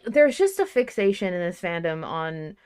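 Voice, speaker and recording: A woman's voice: lowish voice, big pitch swings, moderate pace, crowd noise. A woman co-hosting a podcast, chatting casually and reading listener mail aloud. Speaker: podcast host.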